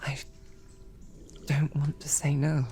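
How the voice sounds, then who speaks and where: A woman's voice: deep voice, monotone, slow. A woman playing a stammering, flustered knight. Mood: tender. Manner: stammering, flustered, shifting to soft whispers. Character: flustered snarky female knight, devoted. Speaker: audio drama performer. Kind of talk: romantic audio roleplay.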